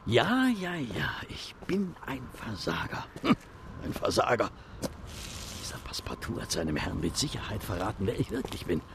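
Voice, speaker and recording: Radio play: self-pitying detective, gravelly, mutters and swoops. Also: male voice, on the low side, wildly swooping pitch, moderate pace, background noise, heavily acted. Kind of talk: radio drama acting.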